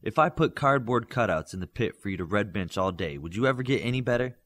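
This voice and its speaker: A man voicing a stern male coach of young superheroes in an audiobook, with loud, clipped authority. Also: lowish voice, lively intonation, fast.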